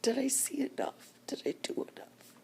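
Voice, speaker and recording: An older woman crying softly as she talks to her camera in a personal vlog, whispering slowly with deep emotion. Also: a little hiss or hum. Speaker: vlogger.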